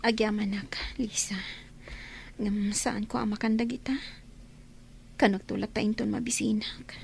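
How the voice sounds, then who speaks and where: A woman acting a weak, heartbroken young daughter with a breathy voice in an Ilocano radio drama. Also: mid-range voice, level tone, unhurried, a little hiss or hum. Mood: weak, despairing.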